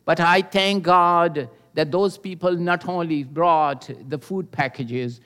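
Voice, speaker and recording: Older guy preaching, slow and careful, kinda high voice, lots of uh pauses. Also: steady tone, a bit bright, clear, resonant tone. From Pakistan. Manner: earnest and measured, with pauses and hesitations. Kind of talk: sermon with personal testimony.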